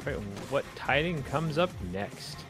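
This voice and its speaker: A man's voice: a little high, lively intonation, a little slow. A man giving short, casual remarks as an esports co-commentator on a fighting game. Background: game music under the commentary.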